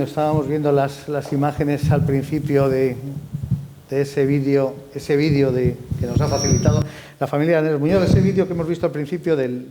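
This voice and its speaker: A man's voice: a little high, warm tone, slight background noise, low-quality recording. Man moderating a round table. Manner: announces names with rising emphasis, then asks chatty questions.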